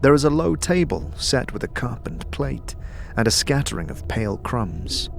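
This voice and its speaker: A man narrating a scripted second-person fantasy story as an atmospheric, measured storyteller. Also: mid-range voice, very animated, creaky, faint music behind.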